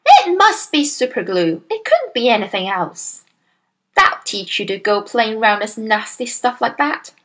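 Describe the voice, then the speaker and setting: Bossy, high-pitched scolding storybook wife, acted by a woman. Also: big pitch swings, unhurried, full character voice. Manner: nagging, sharp scolding.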